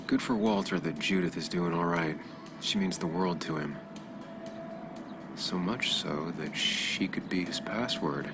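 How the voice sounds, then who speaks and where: A man's voice: deep voice, very animated, measured pace, vocal fry, full character voice. An actor playing a brooding, guarded journalist in a video game, analytical and understated in his delivery. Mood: musing, suspicious. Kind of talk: video game voice acting with muttered inner monologue. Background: music.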